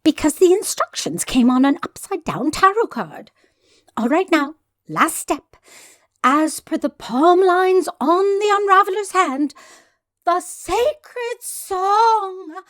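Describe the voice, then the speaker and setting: A woman's voice: very high voice, expressive, slow, a bit bright, no echo, studio quality, exaggerated voice. A woman playing a solemn, pompous mystical seer in a comedic audio drama, speaking in a portentous, ceremonial way. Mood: solemn, instructing.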